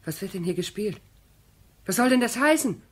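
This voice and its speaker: A woman playing a scornful, agitated widow in a radio crime drama, sharp and accusing. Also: medium pitch, animated, normal speed, slightly rough.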